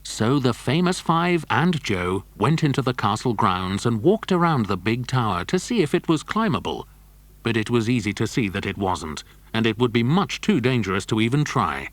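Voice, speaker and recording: Guy narrating a kids adventure story, really expressive up and down delivery, dry close recording. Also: medium pitch, moderate pace, no echo. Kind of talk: scripted children's story narration.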